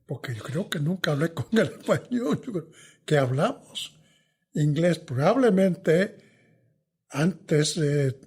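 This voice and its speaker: An older man, his voice a touch husky and pitched a little high for a man. He talks things through slowly and thoughtfully, with pauses, and his sentences often fade away quietly at the end. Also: lively intonation. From New York. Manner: thoughtful, hesitant, trails off softly. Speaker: veteran journalist guest. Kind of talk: reflective interview answers.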